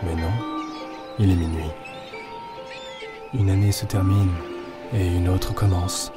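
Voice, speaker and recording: A low-voiced, mysterious figure in an audio drama. He speaks solemnly, with music clearly playing behind him. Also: fairly even intonation, slow pace. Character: solemn mysterious companion announcing the hour and year. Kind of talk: French audio drama acting.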